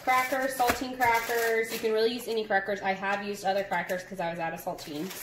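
A young woman narrating a casual cook-along in her home kitchen, chatty and relaxed, laughing at her own slips. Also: mid-range voice, fairly quick, a little hiss or hum, poor audio. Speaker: home cooking vlogger. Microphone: phone camera over the counter.

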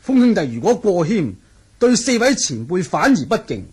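A man acting a formal, forceful martial-arts fighter in a Hong Kong Cantonese radio drama, with a husky voice and emphatic, declamatory delivery. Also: high voice, big pitch swings, normal speed, full character voice.

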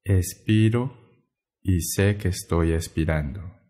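A man guiding a meditation in a gentle, measured way, pausing between short phrases. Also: low-pitched. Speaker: meditation guide. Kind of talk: guided meditation narration.

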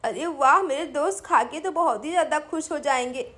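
An excited little girl in a doll story, voiced by a woman, speaks very high and childlike. She is eager and chatty, like a proud young hostess. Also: normal speed. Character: excited little girl hosting her party.

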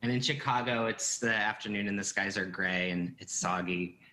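American man, thirties, bright forward voice, chatty moderator, casual, video call. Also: fairly low pitch, normal speed, poor audio. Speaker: panel moderator. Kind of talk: casual panel hosting over video call. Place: indoor room at home on a video call. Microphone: computer video call.

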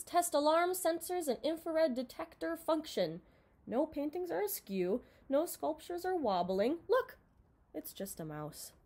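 A young woman reading a children's picture book aloud in an expressive, dramatized way, recorded in a room at home. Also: high voice. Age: young adult. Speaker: storybook reader.

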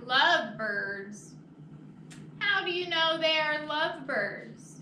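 A woman voicing an anxious, exasperated elephant in a children's picture book, with drawn-out, incredulous exclamations. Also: high voice, expressive, bright, forceful tone, exaggerated voice. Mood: exasperated disbelief. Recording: echoey, noisy room, far from mic.

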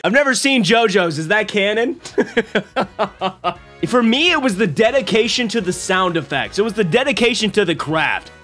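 A young man in his twenties hosting a stream, reacting to clips with excited, laughing commentary from a small home room. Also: sits high, unhurried, soft background music. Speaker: streamer host. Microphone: boom mic on arm.

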